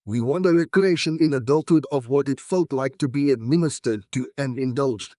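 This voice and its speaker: A man's voice: mid-range voice, normal speed, dark tone, dry recording. Man reading aloud, dry sound. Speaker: exam practice passage reader. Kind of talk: scripted read-aloud, exam practice model.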